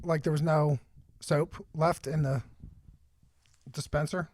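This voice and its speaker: Higher-voiced man chatting casually. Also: steady tone, slow pace, darkish tone. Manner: joking, blunt, swears casually. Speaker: podcast host. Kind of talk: casual chat.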